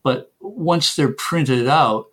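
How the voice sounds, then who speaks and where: A middle-aged man who grew up in Canada talks through his answers in a relaxed interview. He often stops, repeats a word and starts again, and drops in small chuckles between points. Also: medium pitch, drawn-out words. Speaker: software developer guest.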